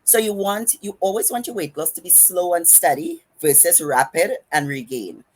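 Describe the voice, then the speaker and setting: Trinidadian woman, low husky voice. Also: normal speed. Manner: runs her words together, emphatic and instructive. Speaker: podcast host and fitness coach. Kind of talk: casual podcast advice.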